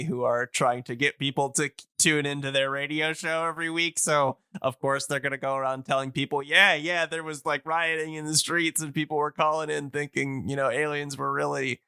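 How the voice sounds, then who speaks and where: A man's voice: mid-range voice, sharp tone, smooth, no room sound. A man hosting a podcast in casual chat, explaining things enthusiastically and rambling as he searches for words. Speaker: podcast host.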